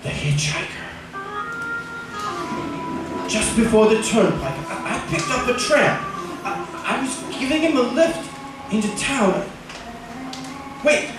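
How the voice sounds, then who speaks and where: An actor playing a middle-aged husband in an old-time radio thriller, halting and urgent, shaken yet greedy and insistent. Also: male voice, very high voice, big pitch swings, exaggerated voice. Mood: halting, dazed. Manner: halting, repeats phrases, urgent. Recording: echoey distant radio-drama recording with music.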